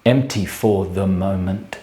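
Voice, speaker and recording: Male actor, bleak brooding solitary man, stage monologue, very slow halting delivery, grave, echoey room. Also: sits low, dark tone. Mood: bleak, haunted. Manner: halting, clipped fragments with measured pauses. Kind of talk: theatrical dramatic monologue.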